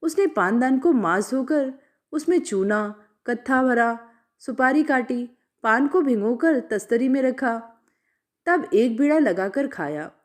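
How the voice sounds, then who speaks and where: Animated high-voiced woman storyteller. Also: slow pace. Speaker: storyteller, narrator. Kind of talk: expressive scripted literary story narration.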